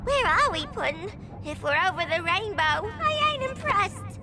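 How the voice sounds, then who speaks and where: A woman voicing a playful, ditzy clown villain sidekick in a video game, wisecracking in a squeaky voice over loud music. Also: sing-song, moderate pace, exaggerated voice. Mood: unimpressed. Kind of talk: animated video game acting.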